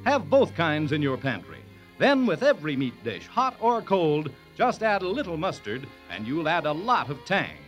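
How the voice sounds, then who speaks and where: A man's voice: fairly high pitch, huge pitch swings, normal speed, soft background music. A man reading a radio commercial in an upbeat 1940s style. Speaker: commercial announcer.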